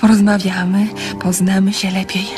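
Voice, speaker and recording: An actress playing a cautious, coy young woman in a radio drama, speaking with hesitant, softly insistent frankness. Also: medium pitch, flat delivery, normal speed, lightly acted. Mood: coy, earnest. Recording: radio play with music underneath.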